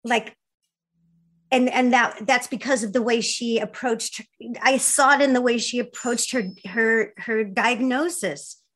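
A woman's voice: a little high, measured pace, a bit bright. A woman, middle-aged, telling personal stories in casual conversation with an effusive, emphatic manner. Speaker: guest, filmmaker.

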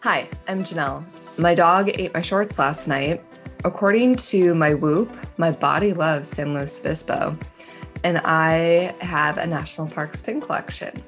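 Casual woman with a lowish voice. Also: a little slow, faint music behind. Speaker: podcast co-host. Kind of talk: casual, unscripted chat.

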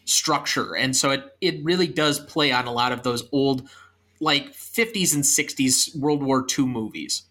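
A man's voice: mid-range voice, unhurried, pushed and bright. A young American man talking about films as a podcast host. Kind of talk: film podcast discussion and sign-off.

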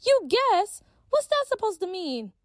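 Breezy chatty schoolgirl played by a woman, bright and high, swooping. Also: big pitch swings, no echo, studio quality. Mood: earnest. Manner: chatty, breezy, quick to brush off. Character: bubbly self-absorbed schoolgirl friend.

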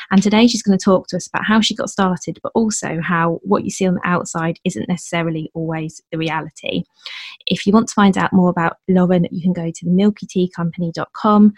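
A woman's voice: on the low side, a little mellow. A woman hosting a podcast in a casual, enthusiastic interview style. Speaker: podcast host.

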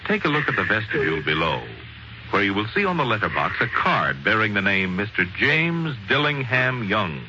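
A man narrating a story in dramatic 1940s radio style, with a theatrical, wry, measured delivery. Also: lowish voice, big pitch swings, bright, forceful tone. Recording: muffled, noisy 1940s radio recording.

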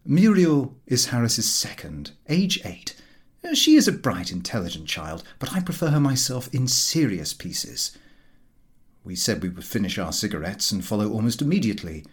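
A man narrating a humorous period novel as an expressive audiobook reading. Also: on the low side, moderate pace, breathy edge.

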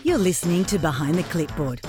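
A woman's voice: lowish voice, very animated, a little fast. A woman announcer delivering a scripted, promotional show opener. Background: loud music bed.

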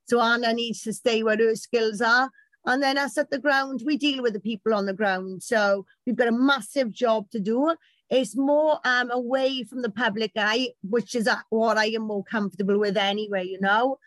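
British woman, campaign organiser; loud, talkative storyteller, high-ish pitch. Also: steady tone, moderate pace, no echo. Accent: British English. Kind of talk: casual chat.

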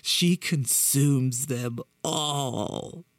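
A man voicing an unhinged, sinister man who speaks in a slow, drawn-out, mocking way. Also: medium pitch, no echo, pitched above the performer's own voice. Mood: zealous. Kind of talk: horror story narration.

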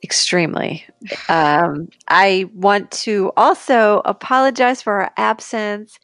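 A woman's voice: medium pitch, steady tone, slow, a bit bright, dry recording, faint music behind. A woman co-hosting a casual podcast, talking in a hesitant, searching way. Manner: hesitant, full of ums and restarts. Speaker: podcast co-host.

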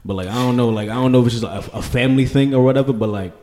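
Young New York guy, easygoing slang-heavy group chat, mid-pitched. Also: very fast, a little mellow, a little hiss or hum. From Queens, New York. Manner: laid-back, slangy, repeats fillers like "you know".

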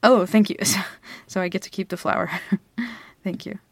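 A woman's voice: medium pitch, steady tone, normal speed, vocal fry, husky, no echo. A young woman playing a flustered girl smitten with her best friend in an intimate ASMR roleplay, hesitant, stammering and giggly. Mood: touched, shy. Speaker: audio roleplay performer. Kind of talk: ASMR girlfriend roleplay, intimate one-sided dialogue.

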